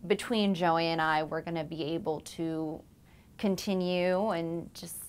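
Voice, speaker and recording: Give me an earnest young woman with a lowish voice in an office interview. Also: steady tone, a little slow, clear, resonant tone. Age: 20s. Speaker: company employee.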